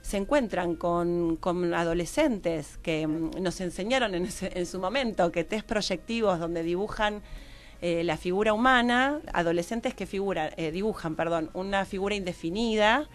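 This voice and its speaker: A woman's voice: lowish voice, moderate pace. A woman with an Argentine accent explaining as a radio interview guest, searching for her phrasing and often checking with tag questions. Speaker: interview guest, psychologist and university teacher.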